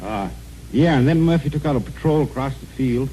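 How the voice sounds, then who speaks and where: A man's voice: mid-range voice, animated, brisk, dark tone, a little hiss or hum, poor audio. A man from the United States, a former sergeant, reminiscing casually and in slangy words as a guest on 1940s radio. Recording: 1940s radio, audience crowd behind.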